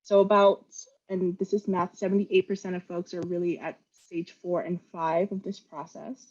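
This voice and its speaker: A woman's voice: on the low side, level tone, moderate pace, a little mellow, muffled recording. Earnest woman presenting a training webinar. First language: Vietnamese. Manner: earnest, talks with frequent fillers and restarts.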